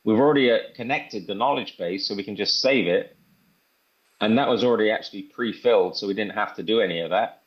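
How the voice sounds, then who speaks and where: A man presenting a software demo in an informal, step-by-step tutorial style. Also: lowish voice, a little fast, dull audio. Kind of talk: casual screen-share tutorial walkthrough.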